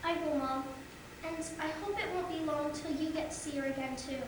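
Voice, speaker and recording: A woman playing an earnest, devoted young girl with a soft, pleading manner in a staged musical reading. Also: high-pitched, steady tone, fairly quick, heavy background noise, lightly acted. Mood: pleading, quiet. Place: theatre stage. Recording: very echoey, distant theatre pickup.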